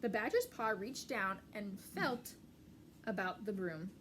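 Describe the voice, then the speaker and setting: Lady reading a kids story, really animated, highish voice, faint noise in the background. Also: slow pace. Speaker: children's story reader. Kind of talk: expressive storybook narration for young children.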